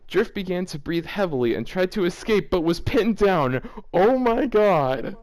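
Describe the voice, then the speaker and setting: A man with a slightly high voice reads a story aloud as host. His delivery is casual and full of his own commentary. He keeps stepping out of the text in amused, exasperated disbelief. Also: expressive, unhurried, darkish tone. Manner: breaks off often to react with exasperated, amused disbelief. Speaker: host reading fan fiction aloud for a video.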